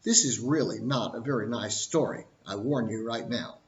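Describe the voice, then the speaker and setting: A man narrating an explainer in the style of a fairy tale, with droll asides and simple, deliberate explanations. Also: mid-range voice. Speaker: storyteller explaining history and finance. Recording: dull, roomy, echoing sound.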